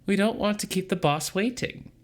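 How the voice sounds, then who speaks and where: A man voicing a stern, impatient female bandit who barks out drawn-out orders in a story reading. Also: high-pitched, voice pushed up, lightly acted. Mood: stern, irritated.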